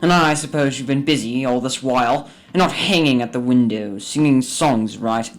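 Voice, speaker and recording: A man's voice: mid-range voice, moderate pace, soft background music, lightly acted. A man playing a crotchety, scolding old miser businessman in a radio drama. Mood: stern, accusing. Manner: scolding, indignant, barking demands. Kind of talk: stage-like radio drama acting.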